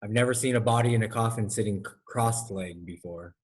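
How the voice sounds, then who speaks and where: A man's voice: lowish voice, fairly quick, slightly rough. A man in a casual podcast conversation, chiming in with questions and comments. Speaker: podcast panelist.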